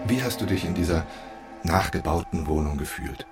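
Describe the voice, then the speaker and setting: An actor playing a detached interrogator who asks calm, clipped, clinical questions in a radio play. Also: male voice, deep voice, moderate pace, loud music behind the voice, natural voice. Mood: detached, probing. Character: detached male interrogator probing calmly, like a therapist.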